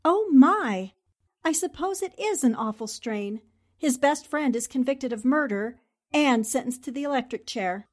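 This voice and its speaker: A woman's voice: high voice, expressive, a little slow. An actress playing a determined, poised and polite newspaperwoman in a 1940s radio drama. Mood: polite, concerned.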